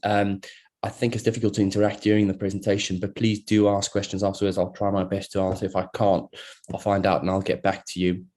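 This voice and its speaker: South African-born surgeon giving a webinar. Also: male voice, sits low, fast. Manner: relaxed and conversational, many fillers and restarts. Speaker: plastic surgeon presenting a webinar. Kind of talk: live online lecture. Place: at work, speaking into a computer. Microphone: video call.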